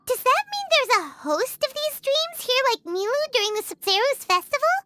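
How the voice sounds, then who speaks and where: Extremely high squeaky voice, tiny naive floating fairy, swooping intonation, exclamatory, cartoonish game sidekick. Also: female voice, sing-song, normal speed, heavy background noise. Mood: excited, curious. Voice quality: squeaky, childlike. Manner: exclamatory, refers to self by name. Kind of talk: video game voice acting.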